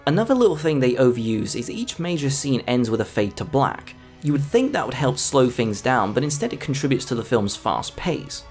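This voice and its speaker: A man's voice: mid-range voice, sing-song, a little fast, hardly any pauses, darkish tone, soft background music. A man narrating a scripted film review as the host of a video essay.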